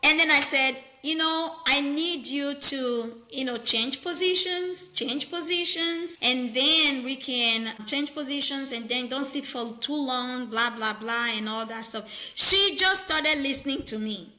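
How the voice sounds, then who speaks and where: Bright-voiced woman from Nigeria. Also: high-pitched, unhurried, hardly any pauses. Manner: animated, firm, storytelling with quoted patients. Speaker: nurse practitioner, guest. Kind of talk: conversational interview.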